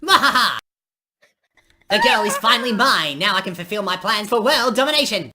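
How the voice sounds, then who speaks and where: A gloating cartoon villain, theatrical and booming. The pitch is pushed well up and swings about, with a bright, forceful edge. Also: male voice, animated, normal speed, full character voice.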